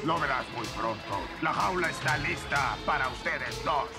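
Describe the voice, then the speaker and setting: A man's voice: a little high, lively intonation, normal speed. A man voicing a big winged villain, gloating and menacing, in a Latin American Spanish cartoon dub. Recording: loud music behind the voice.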